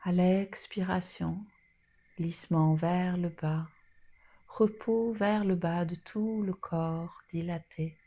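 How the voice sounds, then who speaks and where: Slow, low-pitched woman guiding relaxation. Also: fairly even intonation, muffled recording. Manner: soothing, soft and breathy at times, long pauses. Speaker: yoga nidra guide. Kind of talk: guided relaxation meditation.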